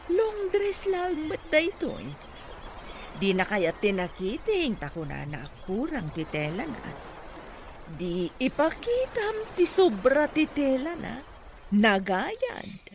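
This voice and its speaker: A woman's voice: high-pitched, sing-song, takes it slowly, slight background noise, heavily acted. A woman acting a scheming, pushy mother in a radio comedy drama, with an Ilocano accent. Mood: insistent. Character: scheming, pushy mother coaching her daughter.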